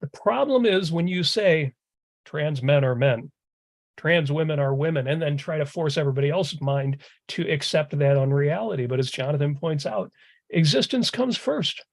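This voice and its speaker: Gravelly-voiced man in his fifties. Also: fairly high pitch, expressive, moderate pace. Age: 50s. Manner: emphatic, argues point by point. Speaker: panelist. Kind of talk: casual panel discussion.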